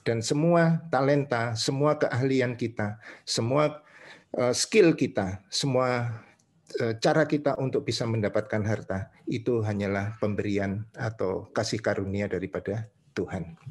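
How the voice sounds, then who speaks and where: A man's voice: medium pitch, a little slow. A man with an Indonesian accent preaches in a didactic way, reading scripture and explaining it phrase by phrase with short confirming tags.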